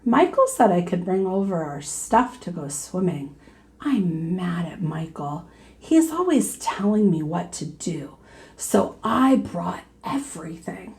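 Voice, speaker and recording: A woman's voice: lowish voice, big pitch swings, slow, a little mellow, heavily acted. A woman voicing a sulky, stubborn young boy in a storybook, with a petulant, grumbling manner. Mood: cross, indignant.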